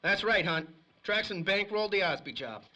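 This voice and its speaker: Jumpy old radio crook, high voice swooping all over, whiny slangy wise guy. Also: lively intonation, normal speed, sharp tone, exaggerated voice. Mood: reluctant confiding. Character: nervous, shifty male small-time crook turned snitch. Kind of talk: 1930s old-time radio crime drama acting.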